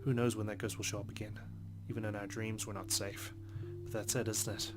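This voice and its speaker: A man with a fairly low voice reads visual novel narration aloud as a let's play host. His delivery is measured and very flat in intonation, now and then tripping on a word, with loud plucked guitar music behind him. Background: prominent game music with plucked guitar.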